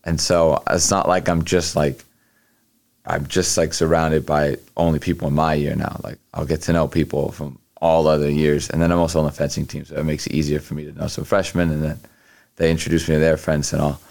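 Young guy being interviewed, super deep voice, lots of um and like. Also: level tone, gravelly, a little mellow. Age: young adult. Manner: halting, many fillers and restarts. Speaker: guest, college athlete. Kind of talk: casual interview answers.

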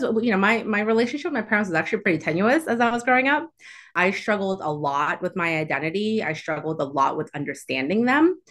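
Podcast guest, woman, low register, from Houston, thoughtful casual talk. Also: a bit bright. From Southwest Houston, Texas. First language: Chinese. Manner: reflective, uses many "like" fillers. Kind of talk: casual interview chat.

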